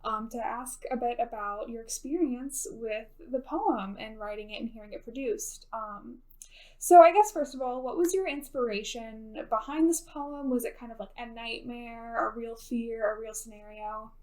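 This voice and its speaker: A woman's voice: on the high side, normal speed, darkish tone, low-quality recording. A woman hosting a casual podcast interview, asking questions in an informal, inquisitive manner. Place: recording studio.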